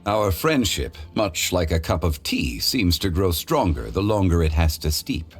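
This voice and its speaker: A man voicing a calm, modest, scholarly gentleman in a video game, with eloquent formal phrasing. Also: low-pitched, unhurried, faint music behind. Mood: modest, gracious.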